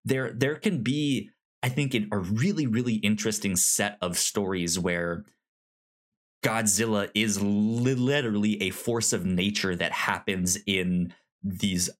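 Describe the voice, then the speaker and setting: A man chatting casually as a podcast co-host, halting and often repeating words as he works out his thought. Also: lowish voice. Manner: halting, stammering, repeating words and syllables as he goes. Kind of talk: casual film discussion chat.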